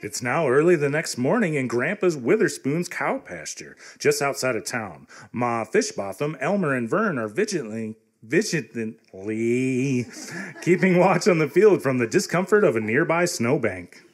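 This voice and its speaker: A man with a husky voice narrating a live comedy radio play in a theatrical, emphatic way. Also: medium pitch, very animated, normal speed.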